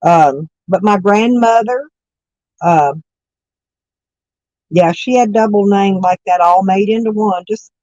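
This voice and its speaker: A hoarse woman with stuffy sinuses, rambling folksily as she chats with live viewers from her camper van. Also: medium pitch, takes it slowly, pauses often, a little mellow, no echo, muffled recording. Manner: rambling, folksy, self-correcting. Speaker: vlogger chatting with live viewers. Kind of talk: casual morning chat.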